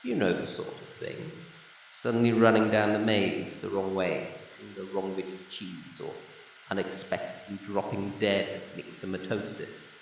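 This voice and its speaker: Ancient, grave alien designer for amateur audio drama, male actor. Lowish, formal, measured delivery, recorded off-mic in a reverberant room. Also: level tone, creaky. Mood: patient explaining. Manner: measured, formal, old-fashioned phrasing. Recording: echoey room, distant mic, faint noise.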